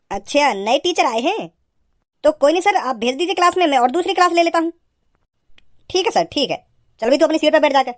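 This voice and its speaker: A performer voicing a strict male schoolteacher in a comic cartoon, with a stern and impatient manner. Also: sits high. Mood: polite, then stern warning.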